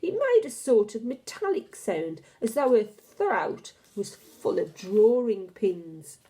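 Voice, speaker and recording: A woman reading a children's novel aloud as an expressive, dramatic and deliberate storyteller. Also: a little high.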